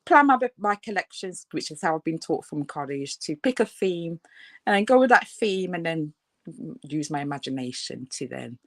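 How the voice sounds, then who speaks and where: A woman's voice: low-pitched, big pitch swings, normal speed, no echo. A woman in her thirties with a Birmingham accent, a fashion designer guest chatting casually, laughing often and filling with "um" and "so yeah.". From England. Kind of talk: casual interview talk.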